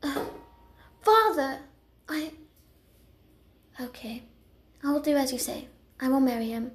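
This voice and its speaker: A woman's voice: sits high, a little slow, a little hiss or hum. A woman voicing a young, earnest and proud female dragon cat in dramatic cartoon character lines. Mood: sad, submissive.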